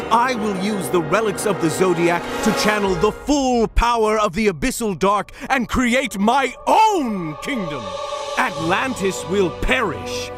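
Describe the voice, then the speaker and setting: A grandiose, swooping tyrant king. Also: high voice. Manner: grandiose, menacing, theatrical proclamations. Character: megalomaniac villain tyrant king of an undersea realm. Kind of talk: video game villain acting.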